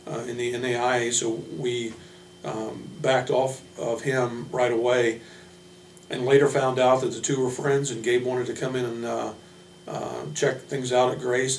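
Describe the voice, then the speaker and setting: Man, American, coach's team introduction, even pitch, halting delivery, distant mic, noisy background. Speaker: head basketball coach. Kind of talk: informal team introduction speech. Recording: echoey room from a distance, hum, noise.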